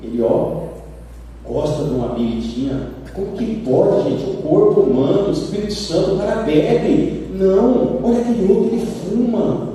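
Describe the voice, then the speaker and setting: Brazilian male preacher, high mellow voice, echoey hall, heard from afar. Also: moderate pace, distant mic, a little hiss or hum. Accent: Brazilian Portuguese. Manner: animated storytelling, acts out quoted voices. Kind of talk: sermon. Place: church sanctuary. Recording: roomy church hall from afar.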